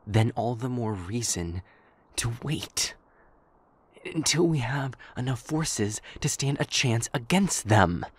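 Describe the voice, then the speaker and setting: A man playing an impassioned, defiant strategist in an audio drama, loud, urgent and confrontational. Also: mid-range voice, lively intonation, takes it slowly, husky. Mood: urgent, indignant. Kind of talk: dramatic audio roleplay acting. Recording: echoey reverb with noisy ambience.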